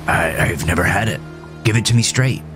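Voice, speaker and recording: A man voicing a polite, uneasy patient who speaks haltingly in a horror story. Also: sits low, over music, close to an everyday voice. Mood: hesitant, polite.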